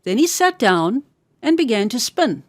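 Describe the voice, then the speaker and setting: A woman reading a scripted fairy tale for children as a storyteller, expressive and carefully enunciated. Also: on the high side, a little slow, no echo, clean studio sound.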